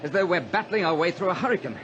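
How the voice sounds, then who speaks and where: A man playing a capable small-plane pilot who fights to stay calm, in a radio adventure drama. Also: high voice, racing, lo-fi sound. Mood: worried, tense. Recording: noisy vintage radio drama recording.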